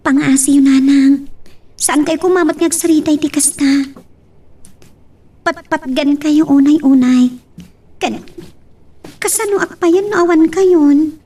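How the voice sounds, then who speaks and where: A woman's voice: high-pitched, takes it slowly, long pauses, warm tone. A young woman playing a devoted, earnest working daughter in an Ilocano audio drama, with an Ilocano accent. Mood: pleading. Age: young adult. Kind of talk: Ilocano radio-style drama acting.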